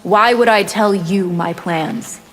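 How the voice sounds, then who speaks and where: A woman's voice: medium pitch, takes it slowly, slight background noise, natural voice. A woman voicing a cold, scheming winged vampire warrior who taunts her foes with disdain in a fighting video game. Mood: cold defiant. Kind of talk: video game character dialogue. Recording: long reverb, loud music behind.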